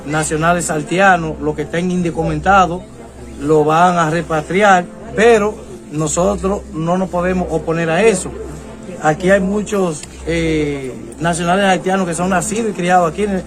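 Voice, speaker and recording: A man, a council president speaking slowly and haltingly in a public statement. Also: on the high side, steady tone, music in the background. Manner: slow, halting, with fillers.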